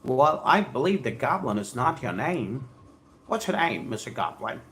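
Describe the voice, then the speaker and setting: A man's voice: mid-range voice, big pitch swings, normal speed, a bit bright. South Indian man, formal live English trainer. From Andhra Pradesh, India. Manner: effusive, formal, repeats phrases and stock idioms. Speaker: spoken English trainer hosting a live stream. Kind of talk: live English lesson, reading aloud and addressing viewers.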